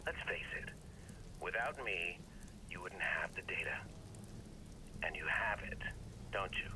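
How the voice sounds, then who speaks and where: An actor playing a manipulative older mentor in a video game, coaxing and insinuating over a phone call. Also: male voice, low-pitched, animated, a little slow. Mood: coaxing, smug. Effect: phone call filter. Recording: noisy phone line over rainy street.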